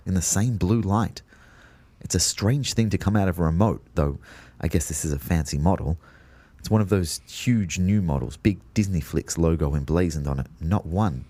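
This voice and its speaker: A man's voice: deep voice, darkish tone, no room sound. An Australian actor playing a confused, exasperated ghost of a man who narrates his own story with wry disbelief. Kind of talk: first-person audio drama narration.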